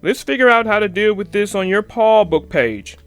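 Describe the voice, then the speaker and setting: A woman's voice: medium pitch, lively intonation, moderate pace, soft background music, natural voice. A woman voicing an exasperated but caring father bear in a children's storybook, speaking emphatically. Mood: firm, fatherly. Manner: emphatic, exclaiming. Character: exasperated father bear, loud and concerned cartoon papa.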